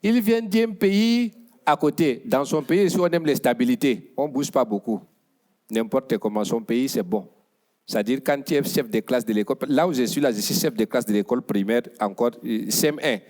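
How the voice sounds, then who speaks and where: A man's voice: mid-range voice, normal speed, darkish tone. A man with an ordinary mid-range voice introduces the next act. His patter is playful and teasing. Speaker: stage host introducing a comedian. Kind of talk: stage introduction.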